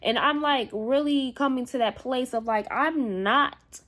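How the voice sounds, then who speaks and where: Woman vlogger, slightly high pitch, rambling and candid, keeps checking in with the viewer. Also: measured pace, a bit bright. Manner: rambling, repeats herself, frequent "you know what I'm saying"; candid, casual swearing. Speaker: spiritual vlogger. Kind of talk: casual spiritual monologue to camera.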